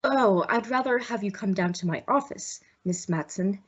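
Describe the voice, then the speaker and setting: A woman's voice: medium pitch, moderate pace, slightly rough, lightly acted. A woman playing a stuffy, worried male executive with a formal, hesitant manner in a radio mystery. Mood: formal, uneasy. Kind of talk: old-time radio mystery acting. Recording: dull lo-fi audio.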